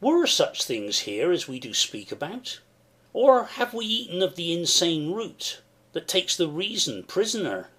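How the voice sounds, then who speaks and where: Noble soldier full of questions guy acting, highish voice, slow, really animated, shakespeare audiobook. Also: breathy edge, full character voice. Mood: puzzled. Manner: declamatory, questioning. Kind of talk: dramatic Shakespeare audiobook reading.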